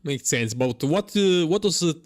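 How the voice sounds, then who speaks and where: A young man giving casual gameplay commentary, muttering and repeating himself in a halting way. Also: a little high, animated. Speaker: game streamer.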